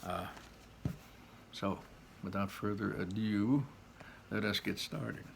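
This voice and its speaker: A man's voice: on the low side, slow, creaky, background noise. A man reading a children's story aloud with dramatic emphasis, repeating phrases and dropping in casual asides.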